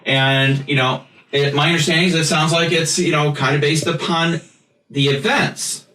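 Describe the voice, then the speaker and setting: A man with a Chicago accent chats loosely, laughing often and poking fun at himself. His voice has a bright, forceful edge and sits back from the mic in an echoey room, with faint noise behind him. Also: on the high side, fairly even intonation, moderate pace, distant mic. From United States. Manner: laughs often, rambling and self-mocking. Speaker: podcast host. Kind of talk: casual chat.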